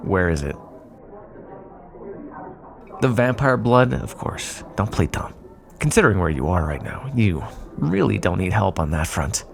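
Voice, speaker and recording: A man's voice: deep voice, big pitch swings, unhurried, creaky, long pauses, a crowd in the background, heavily acted. A man playing a sly, teasing vampire in an intimate, sarcastic ASMR roleplay monologue. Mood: smug, probing. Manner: sarcastic, teasing, drawling asides. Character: sly teasing male vampire bargaining with his hunter rival.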